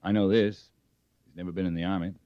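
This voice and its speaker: Low, dry, laconic cavalry captain played by an actor. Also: male voice, steady tone, quick pace, clear, resonant tone, no echo, natural voice. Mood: matter-of-fact. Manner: terse, dry, authoritative. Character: stern, laconic, unflappable male cavalry captain. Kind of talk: old-time radio western acting. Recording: dull vintage radio recording.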